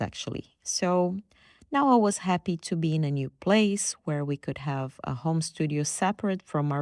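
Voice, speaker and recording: Low-voiced woman hosting a podcast. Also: darkish tone, dry recording, clean studio sound. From Brazil. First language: Brazilian Portuguese. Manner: playful, self-deprecating storytelling. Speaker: podcast host. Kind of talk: reflective personal monologue.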